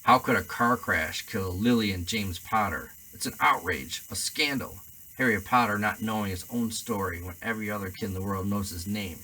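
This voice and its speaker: A man voicing a huge, gruff, big-hearted half-giant gamekeeper in a storybook reading, with a bright tone. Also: low voice, moderate pace, full character voice, rough recording. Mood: outraged. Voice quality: gruff, rough-edged, hearty.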